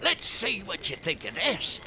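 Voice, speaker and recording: A man's voice: sits high, huge pitch swings, unhurried, pushed and bright, heavily acted. A man playing an easygoing, folksy frontier fiddler in a radio drama. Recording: faint background noise.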